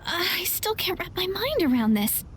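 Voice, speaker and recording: A woman's voice: extremely high pitch, big pitch swings, measured pace, a bit bright, a little hiss or hum, heavily acted. An actress playing an earnest, polite young woman traveler in a video game. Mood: earnest, puzzled. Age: young adult.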